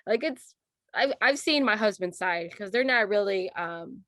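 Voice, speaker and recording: A woman speaking as a panelist, talking in a halting way and correcting herself as she goes. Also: medium pitch, expressive, a bit bright, no room sound, clean studio sound. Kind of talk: casual panel discussion.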